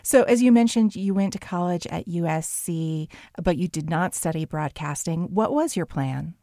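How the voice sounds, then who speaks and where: A woman hosting a radio show, reading a scripted introduction and asking interview questions in a measured way. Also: fairly low pitch, warm tone, dry recording, clean studio sound.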